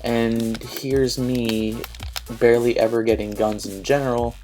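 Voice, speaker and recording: A young man talks through his gameplay with a cold that leaves him stuffed up. His voice sits at a mid pitch, and he rambles with mild annoyance, filling gaps with likes and ums. Faint game music plays underneath. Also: fairly even intonation, measured pace, warm tone. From Philadelphia area, United States. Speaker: gaming YouTuber. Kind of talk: casual gameplay commentary.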